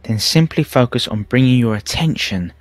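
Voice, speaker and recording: A man narrating a guided meditation in a calm, measured, soothing way with pauses. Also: mid-range voice, no echo. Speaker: meditation teacher. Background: background music.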